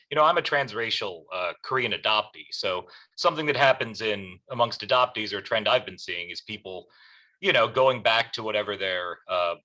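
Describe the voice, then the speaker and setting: A man's voice: on the low side, pushed and bright, rough recording. A man speaking conversationally as an audience member, asking and commenting in a tentative way with hesitant phrasing.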